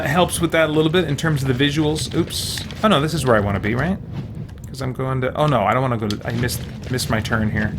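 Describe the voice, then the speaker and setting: A man streaming a video game, giving casual let's-play commentary and thinking aloud in rambling, chatty asides. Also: medium pitch. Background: video game music.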